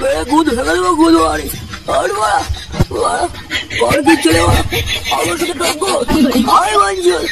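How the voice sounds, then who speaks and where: Drunk old cartoon grandpa, creaky n rowdy, loud music behind. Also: very high voice, big pitch swings, moderate pace, full character voice, rough recording. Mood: drunk, boastful. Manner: drunken, repetitive rambling. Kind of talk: comedy cartoon dialogue.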